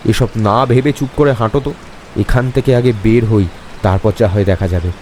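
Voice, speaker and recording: A man voicing a young village man who tries to keep calm and steady in an animated horror story, over music and rain. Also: on the low side, brisk, a little hiss or hum, natural voice. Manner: firm, then stuttering under fright.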